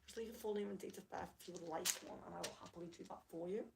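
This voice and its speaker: A woman chatting casually on a live reading stream, apologetic and friendly as she reads out viewer comments, slightly hoarse from losing her voice. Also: lowish voice, fairly even intonation, racing, heavy background noise. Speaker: livestream rune reader. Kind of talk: casual livestream chat.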